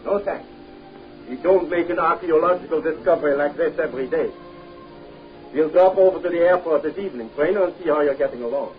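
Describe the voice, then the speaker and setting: An actor playing a suave, evasive archaeologist in a 1930s film serial, with a formal, courteous, theatrical manner. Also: male voice, on the high side, a little fast, close to an everyday voice. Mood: feigned amazement. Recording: dull 1930s film soundtrack, faint music.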